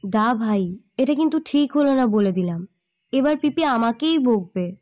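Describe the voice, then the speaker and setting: A sulky younger sister played by a woman in an audio drama. Her girlish voice is a little high. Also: lively intonation, measured pace, darkish tone. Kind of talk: Bengali audio drama acting.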